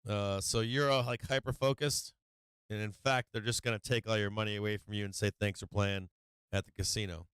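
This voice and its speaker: A man's voice: lowish voice, steady tone, a little fast, a bit bright, smooth, no room sound. A man hosting a live stock market stream, talking loosely with lots of fillers and swearing, his voice getting worn this week. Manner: loose and profane, many fillers, laughs often. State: losing his voice. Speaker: stock market livestream host. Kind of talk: casual trading commentary.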